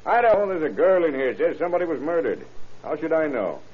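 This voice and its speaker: A man playing a gruff, businesslike small-town undersheriff in an old-time radio crime drama, terse and plain-spoken. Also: on the high side, very animated, quick pace. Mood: urgent, brusque. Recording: noisy, tinny old radio broadcast recording.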